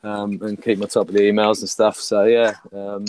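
A man, a podcast guest, chatting casually and rambling with many fillers and restarts, his voice husky from a recent illness. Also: on the low side, level tone, moderate pace, a little mellow, a little hiss or hum. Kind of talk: casual chat.

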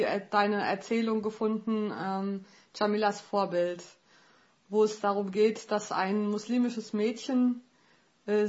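A woman hosting her own podcast, asking questions in a relaxed conversational way with some fillers. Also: medium pitch, lo-fi sound. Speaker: podcast host. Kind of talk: podcast interview.

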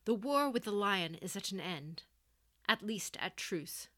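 A woman's voice: mid-range voice, lively intonation, measured pace, fairly bright tone. Woman acting a stern older female samurai general. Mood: firm, resolute. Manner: clipped, commanding, severe.

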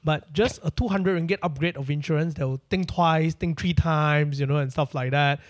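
A man's voice: on the high side, normal speed. A man, hosting a casual interview in a conversational, affirming way. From Malaysia. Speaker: podcast host.

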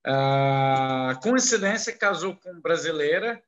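A man speaking slow, halting, broken Portuguese as a non-native speaker, answering interview questions with many restarts. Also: fairly high pitch, expressive, dull audio. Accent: foreign, non-native Portuguese. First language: not Portuguese. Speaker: interviewee, businessman.

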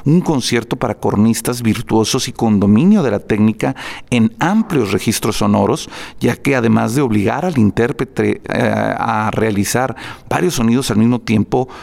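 A man's voice: mid-range voice, normal speed, gravelly, darkish tone. A man presenting spoken program notes before a classical concert, reading prepared text with fillers and restarts.

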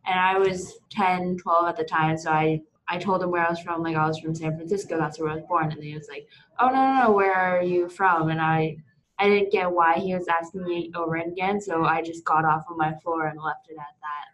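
A woman's voice: on the low side, steady tone, brisk, fairly bright tone, low-quality recording. A young woman on a discussion panel, telling a personal story casually with many fillers. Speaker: panel guest.

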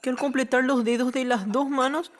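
A woman's voice: high voice, fairly even intonation, fairly quick, close to an everyday voice. A woman playing a lonely, skeptical ten-year-old boy in an audio drama. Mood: downcast.

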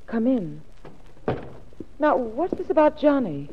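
Swooping, sweetly scheming femme. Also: female voice, high voice, very animated, slow pace, slight background noise, full character voice. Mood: concerned. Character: sweetly solicitous, scheming woman feigning concern. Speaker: radio drama actress. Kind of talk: 1940s radio drama acting. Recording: tinny, reverberant 1940s radio recording.

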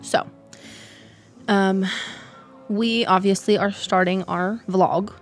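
A woman with a raspy voice co-hosting a podcast in casual chat, upbeat and full of fillers. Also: medium pitch, steady tone, slow pace, faint music behind. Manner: chatty, filler-heavy, upbeat. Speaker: podcast co-host.

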